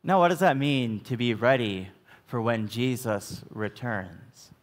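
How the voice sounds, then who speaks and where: Male pastor, American, middle-aged, unhurried sermon delivery, mid-range voice, long church echo. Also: mid-range voice, animated. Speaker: Lutheran pastor. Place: church sanctuary, at the pulpit.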